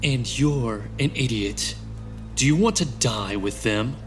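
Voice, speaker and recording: A man's voice: mid-range voice, takes it slowly, soft background music, natural voice. A man acting a cold, menacing young assassin in a dramatic fan dub, terse and condescending in his delivery. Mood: taunting.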